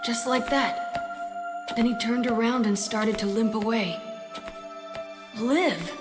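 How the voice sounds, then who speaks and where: A woman's voice: fairly high pitch, slow. A woman voicing an indignant, hot-tempered young boy who acts and narrates his memories in an audio drama. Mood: reflective narration. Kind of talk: audio drama acting with first-person narration. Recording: long reverb, music behind the voice. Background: background music.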